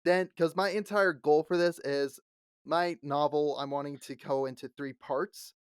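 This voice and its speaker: A man's voice: fairly high pitch, fairly even intonation, a little slow, smooth, dry recording, studio quality. A man in his late twenties, co-hosting a podcast in casual chat, laughing often and acting out the people he quotes. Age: late 20s. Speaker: podcast co-host.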